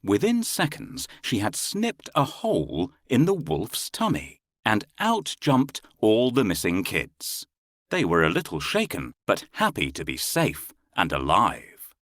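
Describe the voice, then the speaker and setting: A man's voice: fairly low pitch, wildly swooping pitch, a little slow, a bit bright, dry recording, studio quality. A man narrating a children's fairy tale as a scripted storyteller.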